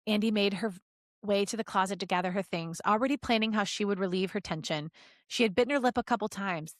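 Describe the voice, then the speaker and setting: A woman podcast host reading fan fiction aloud as an expressive story narrator. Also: mid-range voice, quick pace, no room sound, studio quality.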